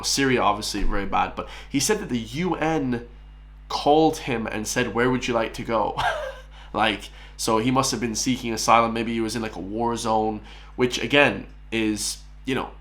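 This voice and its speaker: A man's voice: mid-range voice, fairly bright tone. Blunt man reacting to a video. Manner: blunt, emphatic, repeats himself, laughs often. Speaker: YouTube reaction host. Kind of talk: reaction commentary.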